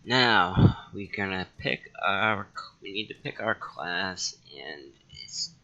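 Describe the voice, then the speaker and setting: A man gives casual video game commentary in a low, creaky voice with a forceful, bright edge. He thinks aloud at an unhurried pace, on a slightly dull recording. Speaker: gaming channel host. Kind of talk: casual let's play commentary.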